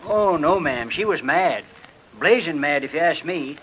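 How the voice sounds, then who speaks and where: An old radio recording of a meek farm hand. His voice is sharp and somewhat high, and he talks in a hesitant, homespun rustic way, as in 1940s radio drama. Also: animated, a little slow, slightly rough, full character voice, rough recording. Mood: earnest. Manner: hesitant, folksy double negatives. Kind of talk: 1940s radio mystery acting.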